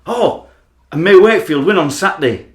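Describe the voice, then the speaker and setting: A man's voice: sits high, animated, normal speed. A man playing an earnest, obsessive rugby fan in a radio play, dramatic and aggrieved. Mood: praying. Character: earnest, obsessive male rugby league fan, trainee social worker. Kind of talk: radio play monologue and dialogue. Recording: roomy amateur recording, faint background noise.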